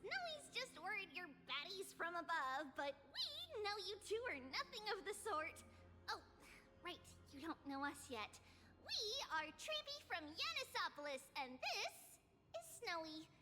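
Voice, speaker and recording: A woman's voice: very high-pitched, sing-song, a little slow, heavily acted. A woman voicing a bubbly, bossy and friendly young girl in an anime-style game, chirpy and theatrical. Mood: cheerful, soothing. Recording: long reverb, clear music behind.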